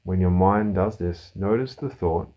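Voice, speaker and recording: A man leading a guided meditation as a mindfulness teacher, giving calm, soothing, unhurried instructions with pauses. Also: low voice.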